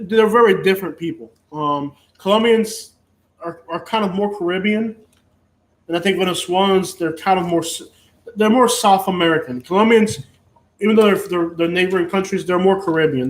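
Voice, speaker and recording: A man's voice: high-pitched, moderate pace. A man from Cuba, hosting a casual live chat and rambling with frequent restarts and fillers. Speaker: host.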